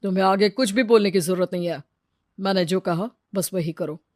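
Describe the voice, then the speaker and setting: A woman's voice: medium pitch, normal speed, darkish tone, no echo, clean studio sound, natural voice. A woman voicing a determined grown male doctor who gives firm orders.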